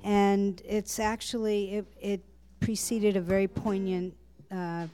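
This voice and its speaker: A woman's voice: medium pitch, level tone, takes it slowly, clear, resonant tone. A woman at an open mic chatting in a rambling, self-deprecating way on a stage mic, then singing her own song while playing guitar. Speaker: open mic singer-songwriter. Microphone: stage mic through a PA. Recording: loud guitar under the voice.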